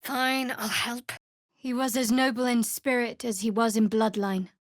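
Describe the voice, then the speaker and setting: A guarded young priestess of a dark goddess, played by a woman, speaks in a video game with a wry, clipped edge. Her voice sits a little on the high side for a woman. The sound is close and dry, a clean studio take with no room on it, and her sardonic bite stays controlled. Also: fairly even intonation, a little slow, no room sound, natural voice. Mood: guarded, matter-of-fact.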